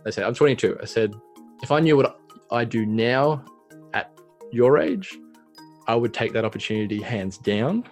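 A man's voice: medium pitch, expressive, moderate pace, long pauses, a little mellow, soft background music. A man in his thirties, a small business owner, talking reflectively in a casual interview with many fillers. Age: 30s.

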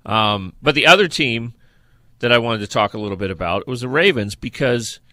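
A man talks football casually as a podcast co-host. His mid-range voice is bright and forceful, and his intonation moves around a lot. He sounds conversational and opinionated. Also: mid-range voice, animated, moderate pace, no echo. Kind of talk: casual football analysis chat.

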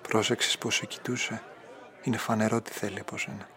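A man's voice: fairly low pitch, flat delivery, bright, forceful tone. Hesitant, lovesick young man. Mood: hesitant, jealous. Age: young adult. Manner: hesitant, earnest, insistent. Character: pale, shy, lovesick young man of twenty-five. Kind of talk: Greek radio drama acting.

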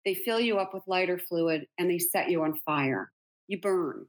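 A woman's voice: fairly low pitch, moderate pace. A middle-aged woman guest, telling her story in an earnest, emphatic way during an interview conversation. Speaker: interview guest, author and speaker.

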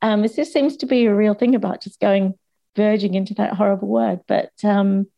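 A woman speaking as a guest on a discussion panel, candid and chatty, with a voice still slightly croaky from a cold. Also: medium pitch, steady tone, fairly quick, mellow, muffled recording. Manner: candid and chatty, with frequent restarts and laughs.

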